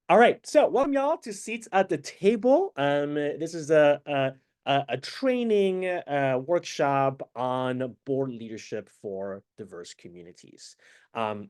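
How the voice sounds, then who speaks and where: A man's voice: mid-range voice, darkish tone. A man from Venezuela leading an online training workshop over a video call, upbeat and interactive in manner. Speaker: workshop facilitator.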